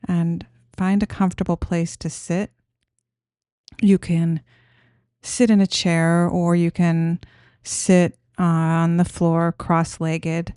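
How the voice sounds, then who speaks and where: A woman guiding a meditation in a gentle, soothing, unhurried manner, giving simple instructions. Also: on the low side, clear, resonant tone, no echo, clean studio sound. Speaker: meditation guide.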